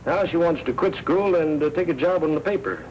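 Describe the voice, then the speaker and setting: An actor playing a mild older newspaper owner and doting uncle in a 1940s film, hesitant and genial. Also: male voice, on the high side, fairly quick, a little mellow, highs cut off, close to an everyday voice. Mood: hesitant, coaxing. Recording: noisy 1940s film soundtrack.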